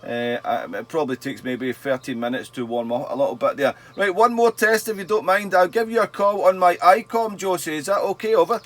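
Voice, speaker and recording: A man's voice: sits high, fairly quick, hardly any pauses. A man chatting casually as a radio operator, often repeating his phrases for emphasis. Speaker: radio operator and YouTube channel host. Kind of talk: casual ham radio chat.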